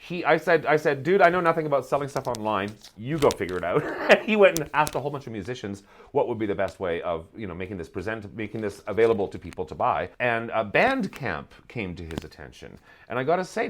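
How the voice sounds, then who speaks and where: A man's voice: medium pitch, very animated, fast talker, few pauses, fairly bright tone. A man speaks in a casual interview style as a guest. His intonation moves around a great deal, and he likes to exaggerate playfully while explaining things about his creative work. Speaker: podcast guest, playwright and performer. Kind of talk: casual, animated interview chat.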